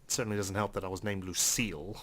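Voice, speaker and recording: A man's voice: low voice, steady tone, fast talker, fairly bright tone. A man hosting a game playthrough, reading a visual novel's first-person narration in a dry, theatrical way. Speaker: let's play host.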